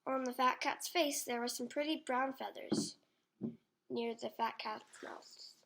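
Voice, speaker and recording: Female storybook reader, high pitch, unhurried deliberate delivery aimed at young children. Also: fairly even intonation, creaky, a bit bright, poor audio. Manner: deliberate, addresses child listeners. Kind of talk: slow read-aloud for young children. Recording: faint background noise.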